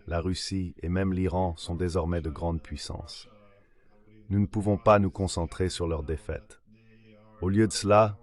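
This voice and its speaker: A man delivering a translated voice-over of a political discussion in a measured, scripted-sounding way. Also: deep voice, a little mellow.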